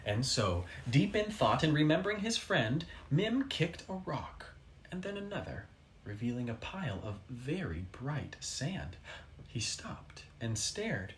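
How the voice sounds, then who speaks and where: A mid-pitched storybook reader delivers a children's tale with animated, swooping intonation. The reading is expressive, with dramatic pauses for young listeners, and faint noise sits behind the voice.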